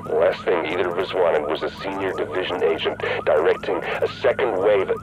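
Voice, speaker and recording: A man's voice: deep voice, fairly even intonation, brisk, muffled recording, lightly acted. A man playing a cynical, power-hungry rogue agent in a video game, persuasive and coolly self-assured, with noise behind him. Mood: smug, businesslike. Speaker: rogue agent and faction leader. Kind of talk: cinematic video game acting.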